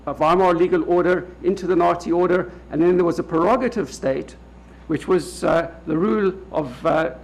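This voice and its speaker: A man from South Africa with a South African accent, giving a formal lecture to an audience of lawyers in a measured way, pausing often on "uh". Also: fairly high pitch, low-quality recording. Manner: measured, many hesitant "uh" fillers. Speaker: legal academic speaker. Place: lecture hall at a public event.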